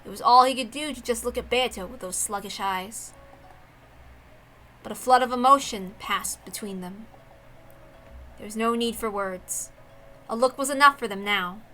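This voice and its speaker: A woman's voice: fairly high pitch, moderate pace, pauses often, bright, forceful tone, slight background noise, poor audio. A woman reading a visual novel aloud as a dramatic narrator.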